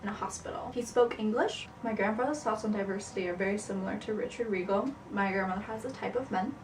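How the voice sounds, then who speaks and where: A young woman, a student, narrating and casually interviewing her family at home, chatty and teasing. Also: medium pitch. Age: young adult. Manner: chatty, teasing, quick to laugh. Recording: roomy, distant camera mic, faint hiss.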